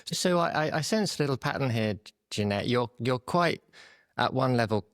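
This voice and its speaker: A man's voice: mid-range voice, animated, moderate pace, fairly bright tone, no room sound, studio quality. A man hosting an interview, summing up his guest's path in an encouraging, rambling way with many restarts. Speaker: podcast host.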